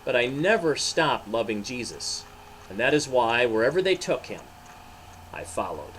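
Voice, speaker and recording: A man's voice: medium pitch, sing-song, normal speed, fairly bright tone, slight background noise, poor audio. A man reading a storybook as an anguished, ashamed male disciple, confessing emphatically.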